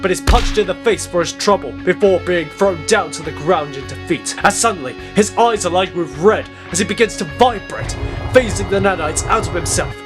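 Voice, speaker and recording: A man narrates a superhero audio drama. His scripted delivery is dramatic and sits a little high, with music running behind it. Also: normal speed.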